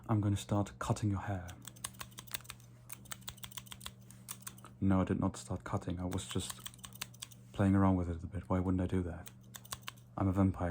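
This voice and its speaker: A man voicing a haughty, domineering yet playful vampire lord in an ASMR roleplay, speaking softly and deliberately with whispered asides. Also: sits low, slight background noise, lightly acted. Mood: casual, smug.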